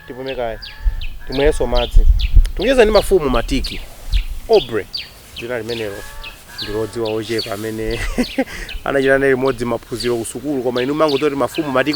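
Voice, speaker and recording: A man's voice: medium pitch, expressive, rapid-fire, slight background noise. A man with a Malawian accent hosting a conversational interview, switching between Chichewa and English. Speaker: radio show host interviewing a guest.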